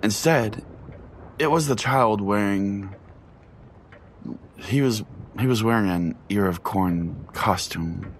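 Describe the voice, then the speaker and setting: A man narrating a first-person story as a podcast host, with deliberate pauses and a dramatic delivery. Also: fairly low pitch, slight background noise. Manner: deliberate, pausing. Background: music.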